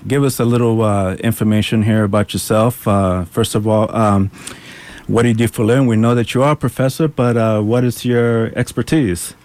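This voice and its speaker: A young man hosting a college radio show, introducing it in an upbeat, announcer-like way that turns a bit halting as he goes. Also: fairly low pitch, steady tone, darkish tone. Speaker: student radio show host.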